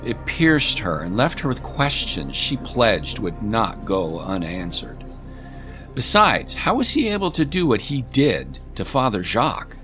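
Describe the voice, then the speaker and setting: A man's voice: on the low side, very animated, measured pace, bright, forceful tone. A man narrating a scripted story as an audiobook narrator, in a dramatic storytelling style. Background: music.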